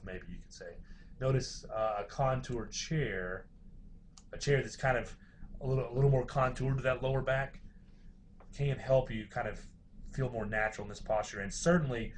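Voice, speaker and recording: A man's voice: medium pitch, slight background noise. A man giving an informal university lecture, explaining things in a hesitant, hedging way.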